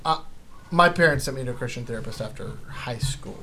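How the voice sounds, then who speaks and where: A man's voice: mid-range voice, expressive, a little fast, crowd noise. A man hosting a podcast, talking in casual chat in a blunt, joking, opinionated way.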